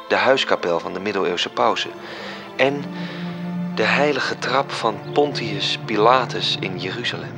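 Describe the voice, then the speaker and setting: A man's voice: medium pitch, natural voice. A man playing a composed, solemn storyteller in a literary radio drama, narrating. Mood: suspenseful. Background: music.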